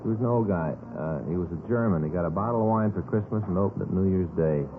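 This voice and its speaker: An actor playing a low-key, practical American police detective in a radio crime drama, casual and plain-spoken. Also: male voice, quick pace, lightly acted. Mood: thoughtful. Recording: tinny, noisy vintage radio recording.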